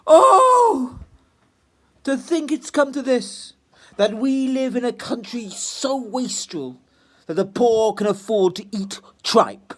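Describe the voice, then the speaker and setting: A man's voice: very high-pitched, big pitch swings, takes it slowly, a bit bright, pitched above the performer's own voice, full character voice. A man voicing a wicked, twisted-faced political economist who shrieks in outrage in a told story. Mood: outraged. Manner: shrieking, outraged, then menacing.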